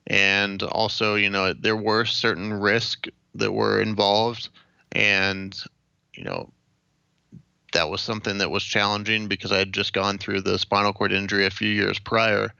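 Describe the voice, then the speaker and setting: Low, gravelly young American man. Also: fairly even intonation, pushed and bright, no room sound, lo-fi sound. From Ohio, United States. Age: late 20s. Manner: measured, matter-of-fact. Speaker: interview guest. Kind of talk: recounting personal experience in an interview.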